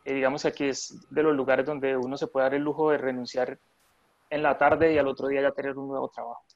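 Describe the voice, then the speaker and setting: A man's voice: mid-range voice, level tone, fast, dry recording, dull audio. A man from Colombia, a nurse in his thirties, chatting in a remote interview with many fillers and restarts.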